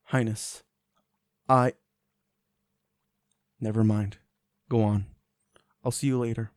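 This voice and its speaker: A man plays a reserved knight in a romantic fantasy audio drama. He is courteous and restrained, with a smooth, fairly low voice that stays flat and controlled. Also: slow pace, no room sound, clean studio sound. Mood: guarded. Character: reserved, dutiful male knight in love with princess.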